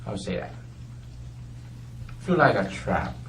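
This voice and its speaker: A man who is not a native English speaker answers questions slowly and haltingly, searching for words and laughing nervously, in a police interview room. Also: on the low side, very animated, a little hiss or hum. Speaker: murder suspect being interrogated. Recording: roomy distant interview-room audio.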